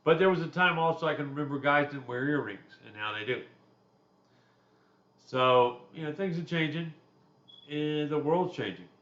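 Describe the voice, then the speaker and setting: A man with a deep voice talks through a class chapter in an easygoing, conversational lecture, dropping in personal asides. The recording is slightly dull and muffled, with a noticeably roomy echo around him. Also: animated, moderate pace, pauses often, fairly bright tone. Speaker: instructor.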